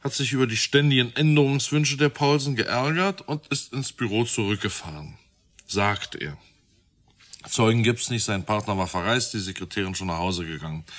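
An actor playing a methodical, matter-of-fact police detective with a wry touch in a radio crime drama. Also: male voice, fairly low pitch, normal speed, a bit bright, lightly acted. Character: methodical, dryly reasoning male police detective. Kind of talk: naturalistic radio drama dialogue.

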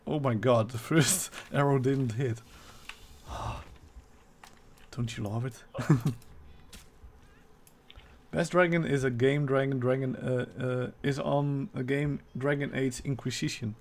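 A man's voice: medium pitch, steady tone, slow, long pauses. A man with a Dutch accent chatting with viewers and teammates while streaming a game live, laughing often. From Netherlands. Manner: chatty, laughs often, reads chat aloud. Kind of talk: live gameplay chat.

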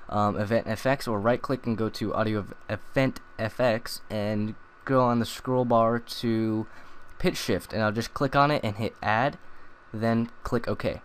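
Casual rambling young man, slightly low voice. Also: slight background noise. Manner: rambling, many ums and uhs. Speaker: tutorial maker. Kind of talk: casual screen-recorded tutorial.

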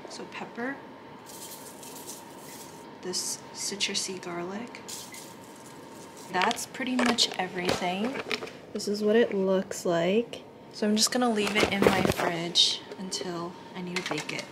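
A woman's voice: medium pitch, takes it slowly, pauses often, heavy background noise. A young woman in a casual cooking vlog, talking through each step as she cooks in a small apartment kitchen. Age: young adult. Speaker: vlogger.

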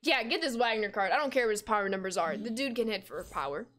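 Young woman streamer, early twenties, slightly high voice, chatty, reads chat aloud, gasps and laughs, desk mic at home. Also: lively intonation, fast talker, a bit bright. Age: 20s. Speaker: gaming streamer. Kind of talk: casual live-stream chat while playing.

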